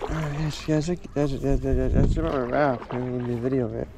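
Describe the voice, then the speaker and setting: A man's voice: mid-range voice, steady tone, fast. A man chatting casually to his viewers while paddling on a lake, rambling and repeating himself, recorded on an action camera mounted on the board. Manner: rambling, repeats words, keeps saying guys. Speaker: vlogger. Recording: outdoor camera audio with water noise. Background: water splashing and paddling.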